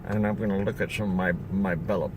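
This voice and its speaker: Older man, rambling and chatty, low voice, noisy outdoor recording with traffic behind. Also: fairly even intonation, fast talker. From upstate New York. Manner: rambling, chatty, frequent fillers and restarts. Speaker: solar salesman. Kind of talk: casual self-introduction video.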